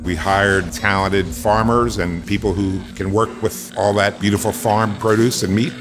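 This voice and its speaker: A man's voice: lowish voice, steady tone, a little slow, over music. A middle-aged man from Brooklyn speaking as a farm cafe owner in a documentary interview. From Brooklyn, New York.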